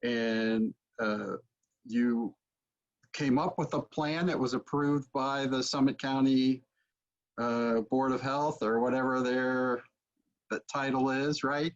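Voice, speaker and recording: A man in his forties hosts a casual discussion over a video call. His voice is medium in pitch, and the computer microphone makes it sound dull and lo-fi. He moderates in an informal, conversational style. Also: steady tone, slow pace. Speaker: host, interviewer. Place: book-lined home study, video call.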